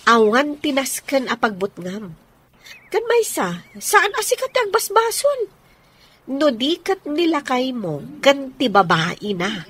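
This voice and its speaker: A woman's voice: high-pitched, sing-song, slow pace, fairly bright tone, slightly rough, heavily acted. A woman playing a chatty, pushy female friend in an Ilocano radio drama. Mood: urging.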